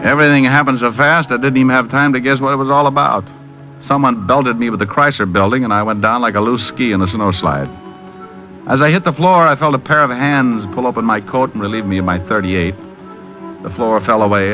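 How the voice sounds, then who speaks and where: Actor as a low-voiced, wisecracking private eye. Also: male voice, lightly acted. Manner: wry, deadpan wisecracks. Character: wisecracking, unflappable male private detective. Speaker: lead and narrator. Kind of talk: 1940s radio detective narration and acting. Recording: muffled old radio recording. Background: music.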